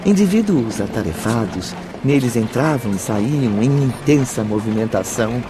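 A man narrating a scripted radio drama in a measured, solemn storytelling manner. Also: medium pitch, lively intonation, over music.

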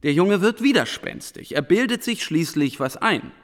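Radio drama: reflective, indignant schoolteacher, highish and very animated, in a reverberant space. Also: male voice, normal speed. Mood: agitated. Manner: reflective, questioning, intense. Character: brooding, earnest male schoolteacher, fascinated and indignant. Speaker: actor in a radio play. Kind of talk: German radio drama dialogue.

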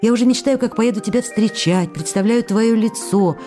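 An actress playing a tender, lovestruck young actress in a radio play, reading her letters aloud in an intimate, wistful manner with pensive pauses. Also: on the high side, mellow, faint music behind, natural voice. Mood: longing, playful.

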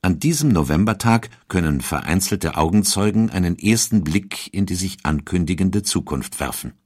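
A male narrator with a deep voice reads a scripted documentary. His delivery is measured and storytelling, recorded dry and close in a studio. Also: animated, breathy edge, dry recording. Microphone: studio microphone.

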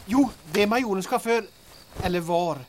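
An actress playing a wry, nervous sidekick in a wartime audio drama, dryly sardonic and full of gallows humour. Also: female voice, medium pitch, background noise, natural voice. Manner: sardonic, dry gallows humour, anxious to leave.

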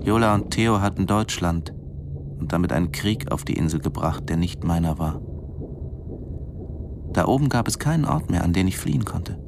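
An actor playing a brooding male diving instructor in a German radio drama, narrating in a measured, introspective way. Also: sits low, lively intonation, gravelly, background noise. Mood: absorbed, tense.

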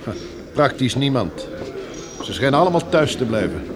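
A man's voice: medium pitch, big pitch swings, moderate pace, noisy background, natural voice. An actor playing an easygoing, casual male helicopter pilot in a Dutch radio drama. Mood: relaxed. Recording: busy crowd murmur behind.

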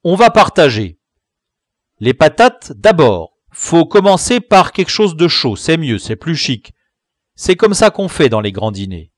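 A bossy boy gang leader, put on by a male storyteller, bellows at his crew in a high, strained voice, swinging wildly up and down. He sounds impatient and commanding, and the recording is close and dry. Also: big pitch swings, a little slow, no room sound. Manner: loud, commanding, impatient shouting.

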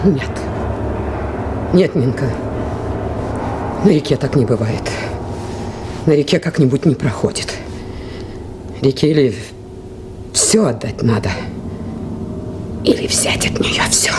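Grave, weary river crew leader. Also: female voice, rumbling low, animated, exaggerated voice. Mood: resigned. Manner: grave, halting. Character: remorseful, weary woman leading a river station crew. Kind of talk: Soviet radio play acting. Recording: noisy 1980s radio play, boat sounds.

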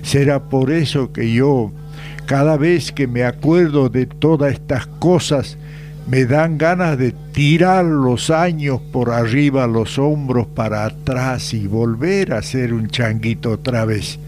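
Slow, folksy Argentine man over music. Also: medium pitch, a little mellow. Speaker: radio segment storyteller. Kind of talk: nostalgic scripted radio monologue.

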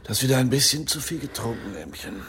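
Gravelly, protective husband. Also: male voice, medium pitch, lively intonation, fast, a bit bright. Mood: soothing, solemn. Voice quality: gravelly, creaky. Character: stern, protective husband, dutiful old-comrade type. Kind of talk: German radio drama acting. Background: music.

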